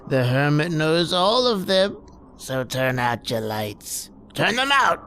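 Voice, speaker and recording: A woman playing a creepy, gleefully sinister old hermit who tells horror tales in a drawn-out, taunting way for a radio play. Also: deep voice, big pitch swings, fairly bright tone, a little hiss or hum, heavily acted. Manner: drawn-out, commanding, taunting delivery. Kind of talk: old-time radio horror host acting.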